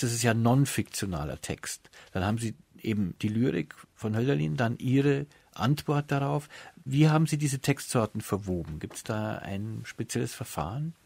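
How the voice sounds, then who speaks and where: A man's voice: mid-range voice, vocal fry, a little mellow, no echo. Dry-sounding male culture interviewer. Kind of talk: prepared cultural radio interview.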